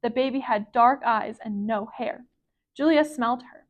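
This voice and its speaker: A woman reading a children's picture book aloud as a library storyteller, with expressive, careful phrasing. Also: fairly high pitch, normal speed.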